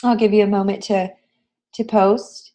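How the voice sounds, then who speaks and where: Hoarse, raspy woman teaching online. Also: mid-range voice, steady tone, normal speed, dark tone. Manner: encouraging, addresses students with frequent questions. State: hoarse voice recovering from a cold. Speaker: online graphic design instructor. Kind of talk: live online lecture to students, conversational. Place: online class session, screen shared.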